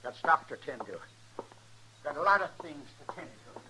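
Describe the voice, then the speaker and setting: A man's voice: on the high side, lively intonation, normal speed, lightly acted. A man acting a nervous stammering Western rancher. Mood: nervous, evasive. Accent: American. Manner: hesitant, stammering. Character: nervous, shifty male rancher in the Old West. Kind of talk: 1950s radio western acting. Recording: noisy old radio recording.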